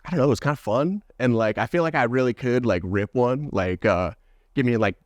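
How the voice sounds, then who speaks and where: A man's voice: mid-range voice, fairly quick, dry recording, dull audio. A young man with a Midwestern American accent, chatting on a podcast in a loose, rambling way full of "like". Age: young adult. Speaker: podcast guest. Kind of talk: casual chat.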